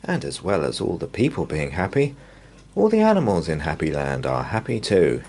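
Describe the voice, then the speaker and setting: Low-voiced man reading a bedtime story, very expressive. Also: a little hiss or hum. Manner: measured, drawn-out, expressive phrasing. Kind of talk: bedtime story read-aloud for young children.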